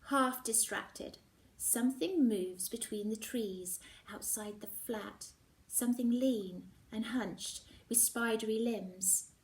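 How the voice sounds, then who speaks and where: A woman teacher reading a novel aloud, expressive and slipping into whispers at tense moments. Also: on the high side, recorded from a distance, low-quality recording. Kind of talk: storybook reading.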